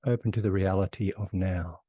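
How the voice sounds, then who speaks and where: Guy doing guided meditation, really deep mellow voice, short phrases w long gaps between. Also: steady tone, husky, dry recording. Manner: unhurried, phrase by phrase with long pauses. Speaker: meditation teacher.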